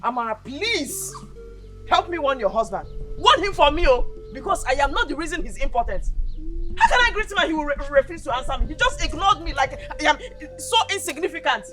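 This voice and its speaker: An actress playing an indignant young woman who loudly complains and demands, with music behind her. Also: high voice, big pitch swings, fairly quick.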